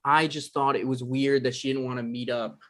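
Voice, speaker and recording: A man's voice: mid-range voice, fairly even intonation, brisk, natural voice. A man acting an anxious, easily flustered novelist in a conversational comedy script reading. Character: anxious, defensive male novelist in his thirties.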